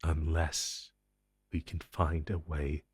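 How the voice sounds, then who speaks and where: A man playing an ancient, powerful supernatural being in an audio roleplay, speaking gravely and commandingly with dramatic pauses. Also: flat delivery, a little mellow, no echo, studio quality, full character voice. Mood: ominous. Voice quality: low, husky. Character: ancient, powerful, possessive male supernatural being, grim secret-agency superior. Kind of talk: intense dramatic audio roleplay monologue addressed to listener.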